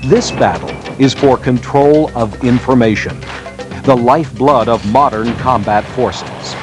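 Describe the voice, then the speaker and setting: A man narrates a military training film in a formal, scripted documentary style, with measured, emphatic phrasing. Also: mid-range voice. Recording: 1990s training film soundtrack. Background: background music.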